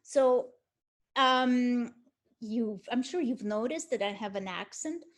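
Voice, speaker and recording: A woman's voice: on the high side, lively intonation, slow. A middle-aged woman from Ukraine with a Russian accent, giving a university lecture with frequent hesitations and restarts. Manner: hesitant, many restarts and ums. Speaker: art history lecturer.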